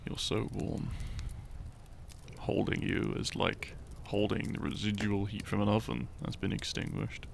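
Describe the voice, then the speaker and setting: A man playing a shy, tender young hero in love in a romantic audio roleplay, speaking gently and intimately. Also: lowish voice, little pitch movement, gravelly, slight background noise. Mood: teasing, tender. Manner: slow, gentle, intimate, halting.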